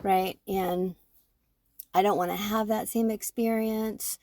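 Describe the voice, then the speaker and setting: A woman in her forties, co-hosting a podcast, talking through her own thoughts in an animated way and often checking in with "right?". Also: mid-range voice, takes it slowly, dry recording. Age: 40s. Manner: animated, self-reflective, fond of tag questions like "right?". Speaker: podcast co-host. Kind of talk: casual conversation.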